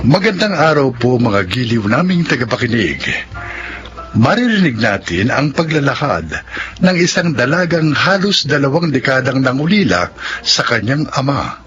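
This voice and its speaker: A Filipino man narrating and announcing a radio drama in a solemn, deliberate, scripted style. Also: medium pitch, sing-song, a bit bright, lo-fi sound, faint music behind.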